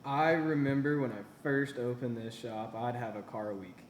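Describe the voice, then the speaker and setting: A man's voice: medium pitch, steady tone, moderate pace, roomy sound, a little hiss or hum. A man in his twenties, a small-business owner, giving earnest, encouraging answers in a casual interview. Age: 20s. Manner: earnest and encouraging, with repeated phrases.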